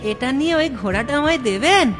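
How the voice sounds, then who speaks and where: A woman's voice: sits high, animated, fast, a bit bright, faint music behind. A woman voicing a simple-hearted, earnest village boy in a fairy-tale cartoon, often hesitating and repeating words. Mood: polite, hesitant. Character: naive, simple-hearted village boy devoted to his mother.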